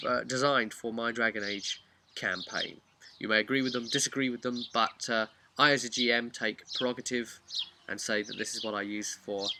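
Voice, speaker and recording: A man's voice: medium pitch, noisy background. A man giving a casual, unscripted explainer as a video host, rambling along in a chatty, frank way. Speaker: video host and tabletop game master.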